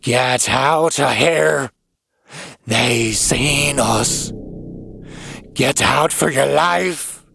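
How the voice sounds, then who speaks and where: A crazed old drunkard from a horror audiobook. He rants in frantic rustic dialect with a gravelly, creaking voice, rambling between muttering menace and terror. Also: medium pitch, very slow, pauses often, sharp tone, faint music behind, pitched above the performer's own voice, exaggerated voice. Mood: terrified, urgent. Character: crazed, terrified old New England drunkard ranting of horrors.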